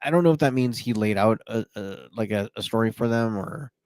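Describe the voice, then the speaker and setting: A man's voice: fairly low pitch, a little fast, darkish tone, dry recording. A man co-hosting a casual podcast, chatty and playful, reading out listener comments. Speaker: podcast co-host.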